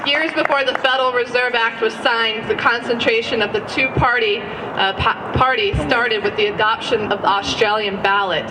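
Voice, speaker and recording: A woman in her thirties gives an earnest rally speech, her words tripping into fillers and quick self-corrections. She sounds set back from the microphone. The crowd is clearly audible around her. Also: on the high side, fairly even intonation. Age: 30s. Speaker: guest speaker at a rally. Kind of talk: public speech. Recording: distant mic with audience noise.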